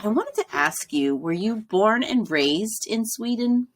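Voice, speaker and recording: A woman's voice: medium pitch, animated, a little slow. A woman over forty hosting a podcast, an enthusiastic interviewer who laughs easily. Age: 40s or older. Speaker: podcast host.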